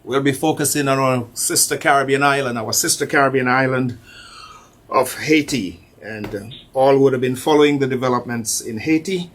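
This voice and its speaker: A man hosting a radio talk show from the island, introducing a guest at a measured pace and repeating his key points. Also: mid-range voice. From Anguilla.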